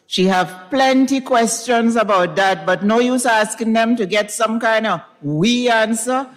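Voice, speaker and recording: A woman reads fiction aloud in expressive dialect. Her pace is slow and deliberate, her pitch sits mid-range, and the long reverb of a recital hall surrounds her. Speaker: reader at a literary event. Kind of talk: dramatized reading of fiction. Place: recital hall in front of an audience.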